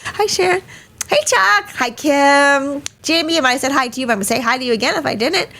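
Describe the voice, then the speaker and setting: Chatty woman host, slightly croaky. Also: high-pitched, fairly quick, sharp tone. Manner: chatty, greets many attendees by name. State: hoarse from a cold. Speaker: webinar host. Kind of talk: casual live webinar hosting. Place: small studio. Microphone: studio mic.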